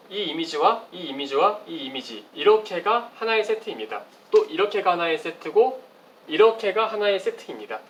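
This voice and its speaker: A man's voice: on the high side, a bit bright, rough recording. A man giving a formal, explanatory research presentation with slides, as at an academic conference. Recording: clearly audible background noise.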